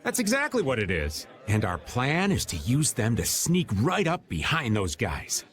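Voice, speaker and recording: An American actor playing a sly, jokey older rogue in an anime game dub, with a folksy, teasing, theatrical manner. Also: male voice, mid-range voice, huge pitch swings, normal speed, a bit bright. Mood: sly, breezy.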